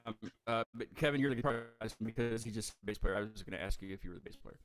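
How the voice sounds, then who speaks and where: A man's voice: fairly low pitch, racing. A man hosting a casual video-call interview, stammering and repeating words with eager enthusiasm. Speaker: host of a live music show. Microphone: headphones with mic on a video call.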